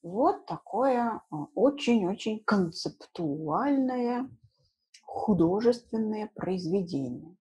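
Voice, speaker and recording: A woman in her thirties gives an informal language lesson in a soft-edged, husky voice. Her tone is mellow and her pace is slow. She explains things simply, repeats key words and checks in with short tag questions. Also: mid-range voice, animated, low-quality recording. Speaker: language teacher. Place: small room indoors at home.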